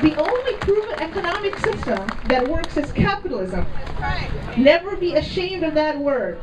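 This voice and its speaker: A woman with a Czech accent gives an emphatic, deliberate prepared speech from an outdoor rally stage, heard over a PA system. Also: very high voice, sing-song. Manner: emphatic, deliberate, reading from notes. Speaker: rally speaker. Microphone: PA system heard from a distant camera. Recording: distant outdoor PA with crowd noise.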